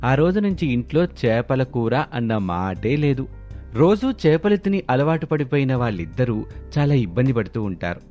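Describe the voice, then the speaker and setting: Guy narrating a scripted family story, mid pitched voice, music playing behind him. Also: sing-song, normal speed, mellow.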